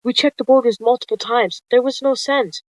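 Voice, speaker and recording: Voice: medium pitch, steady tone, fairly quick, no room sound, pitched above the performer's own voice, lightly acted, low-quality recording. A worried tomcat deputy, as voiced by a storyteller, insistent and full of questions. The voice is close and dry, in a roleplay story. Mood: alarmed.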